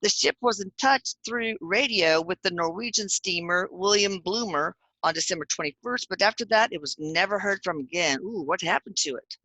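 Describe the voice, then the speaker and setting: A woman's voice: medium pitch, normal speed, few pauses, bright, forceful tone, no room sound, poor audio. A middle-aged woman hosting a casual chat, reading aloud from notes with joking asides and spelling out names. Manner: chatty, jokey, reads with asides and spelled-out names. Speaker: host.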